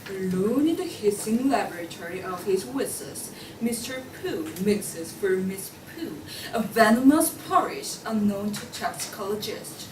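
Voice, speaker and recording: A woman's voice: mid-range voice, animated, measured pace, hardly any pauses. A young woman reading scripted narration as a student narrator at a play table read. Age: young adult. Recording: roomy, distant mic, faint noise.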